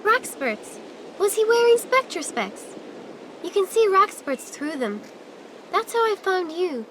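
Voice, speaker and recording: A woman's voice: extremely high pitch, sing-song, slow, a bit bright, a little hiss or hum, heavily acted, low-quality recording. A woman playing a dreamy, eccentric teenage schoolgirl in a game cutscene, speaking earnestly about odd things. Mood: dreamy, curious. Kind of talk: video game cutscene acting.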